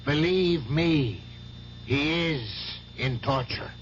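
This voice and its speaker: Casting: earnest priest, very measured delivery, slightly high voice, old radio play. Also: male voice, over loud music. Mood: grave, pleading. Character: earnest, grave priest counselling a troubled young man. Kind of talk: 1970s radio drama acting. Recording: lo-fi 1970s radio, faint noise.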